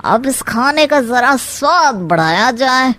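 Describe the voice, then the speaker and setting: Cartoon troublemaker uncle, played by a woman: very slow, high-set voice, scheming, wheedling, full of mock concern. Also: fairly bright tone, exaggerated voice. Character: scheming, meddling uncle, sly male troublemaker. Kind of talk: Hindi animated comedy story acting.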